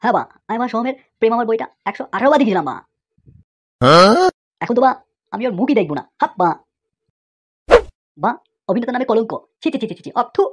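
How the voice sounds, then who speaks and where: A woman voicing an angry, swaggering man in a comic cartoon dub, ranting with slangy tags in a husky, rough voice. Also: on the high side, lively intonation, fast, long pauses, a little mellow, muffled recording, a little hiss or hum, lightly acted.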